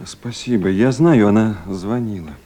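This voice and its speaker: An actor playing a quiet, laconic and courteous party committee official in a radio drama. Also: male voice, on the low side, a little slow. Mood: subdued. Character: restrained, reflective male city party committee official. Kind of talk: 1970s Soviet radio drama acting.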